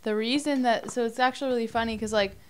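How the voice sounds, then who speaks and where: A woman in her late twenties, a native Romanian speaker, talking softly and casually as a podcast guest, with frequent little laughs and many filler words. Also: on the high side, fairly even intonation, fast, slight background noise. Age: late 20s. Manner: soft-spoken, giggly, many filler likes.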